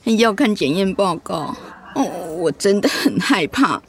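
A woman's voice: mid-range voice, lively intonation, unhurried. An actress playing a frightened, anxious middle-aged mother who is ill, in a radio drama. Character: frightened, anxious mother facing cancer treatment.

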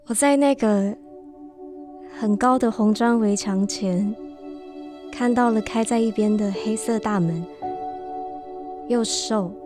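Actress as gentle, reflective woman in radio drama, mid-pitched, measured and sincere, music clearly underneath. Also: lightly acted. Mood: dreamy, reflective. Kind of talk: radio drama acting with narration.

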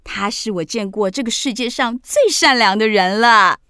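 A woman's voice: a little high, normal speed, sharp tone, clean tone, no room sound, clean studio sound. An actress playing a playful, teasing and doting witch aunt in a children's audio drama, with an exuberant manner. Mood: reassuring.

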